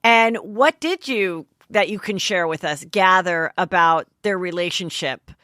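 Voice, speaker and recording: A woman's voice: on the low side, a little slow, sharp tone, dry recording, studio quality. A woman hosting a podcast, asking a guest probing, curious questions. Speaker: podcast host. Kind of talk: interviewing a guest.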